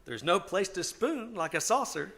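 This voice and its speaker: A man's voice: sits high, very animated, normal speed, creaky, a bit bright, heavily acted. A man playing a polite, naive young fellow with a hesitant manner in a live radio comedy. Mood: hesitant, earnest. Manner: hesitant, gee-whiz politeness. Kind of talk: live old-time radio comedy acting. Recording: noisy live stage performance.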